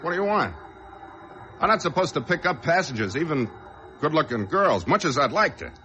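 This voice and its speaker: An actor playing a nervous, evasive truck driver in an old-time radio drama, pleading in shifty, slangy talk. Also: male voice, fairly high pitch, big pitch swings, normal speed, pushed and bright, slight background noise. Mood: evasive, uneasy. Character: nervous, evasive truck driver cornered by a gun, narrating his tale.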